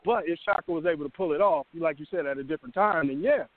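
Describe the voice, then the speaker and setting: Higher-voiced man on a phone-like line. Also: fast, dry recording. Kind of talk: casual group discussion. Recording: dry tinny phone-like line.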